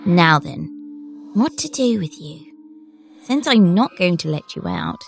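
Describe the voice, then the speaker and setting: A woman playing a stern, precocious little girl in an audio horror drama, speaking in a formal, measured way. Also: fairly low pitch, wildly swooping pitch, gravelly, heavily acted. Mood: commanding. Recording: music under the voice.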